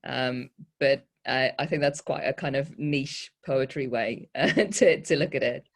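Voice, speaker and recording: Thoughtful poet with a mid-pitched voice. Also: unhurried, vocal fry. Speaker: poet and writer, panel guest. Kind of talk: thoughtful spoken answers in a panel discussion.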